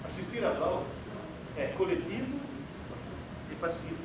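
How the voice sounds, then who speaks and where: A man's voice: high voice, expressive, normal speed. A man who learned Portuguese as a second language gives a theatrical, sarcastic lecture to an audience in an auditorium. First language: not Portuguese. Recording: echoey hall, distant mic, noisy.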